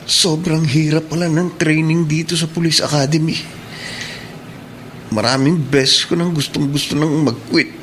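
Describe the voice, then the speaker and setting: Higher-pitched young Filipino police cadet. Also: male voice, fairly bright tone, a little hiss or hum. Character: earnest young male police academy cadet. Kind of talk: Filipino radio drama monologue.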